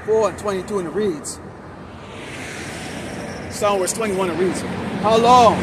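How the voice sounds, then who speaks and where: A man with an American accent preaching on the street, berating listeners in blunt, profane language between scripture readings. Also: on the high side. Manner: berating, profane, repeats "okay?" as a tag. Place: outdoors by a city roadside. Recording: very noisy outdoor street with traffic.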